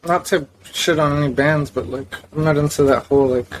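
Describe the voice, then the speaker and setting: A man's voice: fairly high pitch, fairly even intonation, brisk, slight background noise, low-quality recording. A young man from South Florida, a guitarist and singer, talking in a laid-back, hesitant way as a podcast guest. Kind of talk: casual interview chat.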